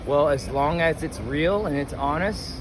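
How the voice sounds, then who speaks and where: Higher-voiced hesitant man in street noise. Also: measured pace. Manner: hesitant, repeats questions before answering. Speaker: interviewee, music fan at a show. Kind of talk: casual chat. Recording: noisy outdoor urban street with traffic.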